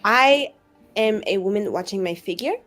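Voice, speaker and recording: A woman's voice: medium pitch, lively intonation, fairly bright tone, lightly acted. Lady playing a snooty spiteful woman in a tabletop game, super confident and condescending, music behind. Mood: haughty, spiteful. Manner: condescending, mock-innocent, drawn-out.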